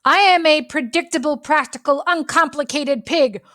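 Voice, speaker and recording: A woman's voice: high voice, moderate pace, pushed and bright, pitched above the performer's own voice. A woman voicing a grumpy, fussy male pig in a storybook, speaking in curt, emphatic exclamations. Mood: angry, hurt.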